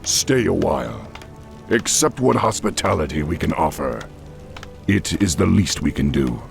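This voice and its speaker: An actor playing a proud, honorable orc war chief in a video game, speaking with command and dignity. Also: male voice, rumbling low, sing-song, a little slow, gravelly, faint music behind, exaggerated voice. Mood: noble, welcoming. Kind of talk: video game cutscene acting.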